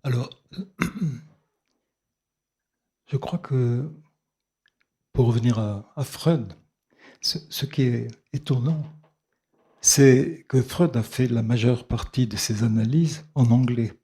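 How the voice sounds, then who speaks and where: A man taking the floor at a bookshop talk, speaking in a slow, measured, explanatory way, heard from some distance. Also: medium pitch, warm tone, breathy edge. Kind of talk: lecture-like comment.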